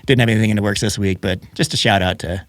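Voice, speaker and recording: A man hosting a casual podcast, a little hoarse from a head cold, chatty and quick to laugh. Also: fairly low pitch, fairly even intonation, fairly bright tone, no room sound. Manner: chatty, laughs often, frequent restarts. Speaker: podcast host.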